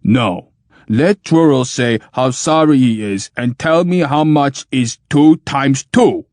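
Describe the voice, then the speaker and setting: A man doing a booming, stubborn giant thinking machine. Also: no echo, clean studio sound. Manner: booming slow and flat.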